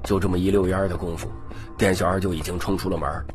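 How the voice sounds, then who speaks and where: A man's voice: sits low, steady tone, fast talker, faint music behind. A man narrating a scripted, dramatic audiobook story.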